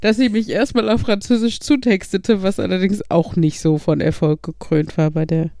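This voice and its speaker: A man's voice: sits high, steady tone, moderate pace, mellow, no echo, studio quality. A young man chatting casually as a podcast co-host, telling rambling anecdotes with frequent giggles. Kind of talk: casual chat.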